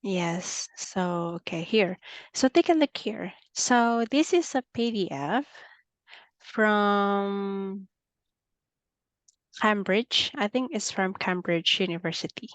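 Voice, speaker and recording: A woman's voice: mid-range voice, animated, slow, no echo. A woman with a Filipino accent tutors English in a one-on-one video call, explaining patiently and leaning on "okay" as she goes. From Philippines. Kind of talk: online one-on-one speaking lesson.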